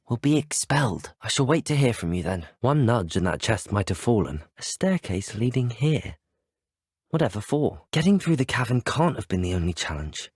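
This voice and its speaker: A polite, brave young British wizard student in a fantasy video game. His formal, well-spoken delivery moves with lively intonation and has a breathy, husky grain. The voice sits a little low and is recorded very dry and close. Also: no room sound. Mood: curious, purposeful. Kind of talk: scripted game dialogue.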